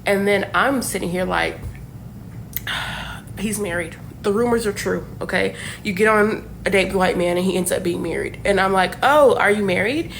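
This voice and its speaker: A young woman in her twenties rambles through a dating story, piling up fillers and asides. She sounds casual and unscripted. A faint rumble sits under her voice. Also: normal speed, creaky, a bit bright, low-quality recording. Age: 20s. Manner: chatty, many fillers and asides.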